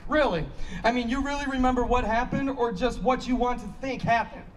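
A man plays an anguished, defensive man fighting the bottle in a play reading. His voice sits high and he hammers his phrases in agitation. It is picked up at a distance outdoors, with some background noise. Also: fairly even intonation, a little fast. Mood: needling. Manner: emphatic, repetitive, agitated. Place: small outdoor stage. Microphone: handheld microphone.

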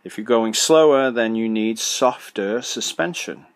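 A man's voice: medium pitch, a little slow, no room sound. Man giving blunt, practical motorcycle advice. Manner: practical, direct, asks himself questions and answers them. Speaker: podcast host, motorcycle suspension tuner. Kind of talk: solo podcast advice talk.

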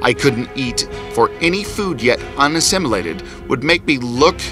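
A man's voice: mid-range voice, lively intonation, moderate pace, over music, natural voice. A man playing an arrogant invisible scientist in a cartoon, telling his own story in a theatrical, boastful, scornful way. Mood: aggrieved. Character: arrogant invisible male scientist, gloating and restless. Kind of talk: dramatic first-person storytelling in a cartoon.

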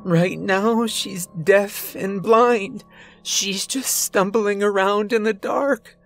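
A performer voicing a deranged, desperate and violent man in a dramatic horror story, agitated and hoarse. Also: slow, soft background music. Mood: fervent, pleading. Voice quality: hoarse shouting. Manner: agitated, booming, pleading.

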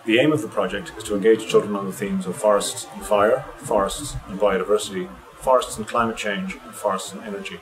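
A man in his thirties, a programme director, giving a steady, measured statement straight to camera outdoors. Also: fairly low pitch, low-quality recording. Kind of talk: scripted interview to camera. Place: outdoors by a building with shrubs. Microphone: close mic, framed head and shoulders. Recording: music bed under the voice.

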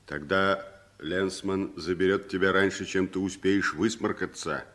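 An actor playing a good-natured, plain-spoken wandering tramp in a 1960s radio play, folksy and expressive. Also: male voice, sits low, unhurried. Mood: stern.

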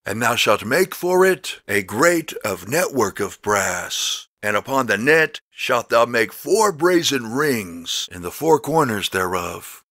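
A man reading scripture as a scripted narration, slowly, with deliberate emphasis on the words. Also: medium pitch, sing-song, pushed and bright, dry recording, studio quality. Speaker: Bible narrator.

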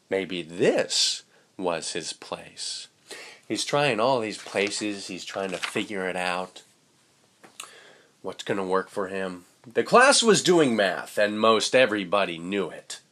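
A young man reads a storybook to children in an expressive, playful way. His pace is unhurried and his intonation rises and falls widely, with casual asides along the way. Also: on the low side. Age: young adult. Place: indoors, small room with curtain.

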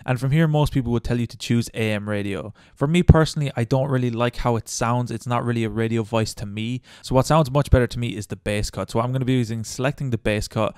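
A man narrating a casual step-by-step software tutorial in a chatty, informal way on a good close microphone. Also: mid-range voice, fast, hardly any pauses, clean tone, no room sound. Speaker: tutorial host. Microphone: good close microphone, voice-over.

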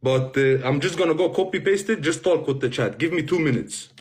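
A man's voice: fairly high pitch, a little fast, darkish tone, a little hiss or hum. A young man from Sweden chatting casually on a live stream into a phone. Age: young adult. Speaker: livestreamer.

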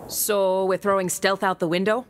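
A woman's voice: mid-range voice, level tone, moderate pace, background noise, lightly acted. A woman playing a proud, confident warrior hero in a video game, self-assured and dry in delivery. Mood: dry, puzzled. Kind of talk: video game character acting.

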